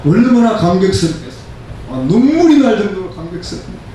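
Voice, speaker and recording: Echoey, higher-voiced elderly male preacher. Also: big pitch swings, quick pace, warm tone. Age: 70s. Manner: emphatic, repeats phrases in parallel for stress. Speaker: pastor preaching. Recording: very echoey room, distant mic, faint noise.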